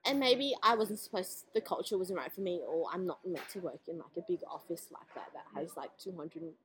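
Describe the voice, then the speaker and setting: A young woman from New Zealand with a Kiwi-Australian accent, co-hosting a podcast in a relaxed, casual way in a cafe. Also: fairly low pitch, moderate pace, rough recording. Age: young adult. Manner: relaxed, agreeable, "like" fillers. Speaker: podcast co-host. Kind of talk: casual chat. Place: busy cafe.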